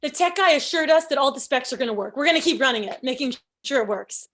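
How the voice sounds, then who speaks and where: Woman playing high-pitched, stressed wedding planner. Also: expressive, fast talker, fairly bright tone. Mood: anxious assurance. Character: always stressed wedding organizer in her thirties. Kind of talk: table read of a screenplay.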